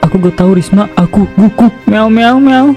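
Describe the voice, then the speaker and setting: A woman's voice: mid-range voice, normal speed, mellow, faint music behind, close to an everyday voice. A woman voicing a cheating young husband who is cursed to meow and bark when he speaks, sounding panicked. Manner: panicked, breaks into cat and dog noises.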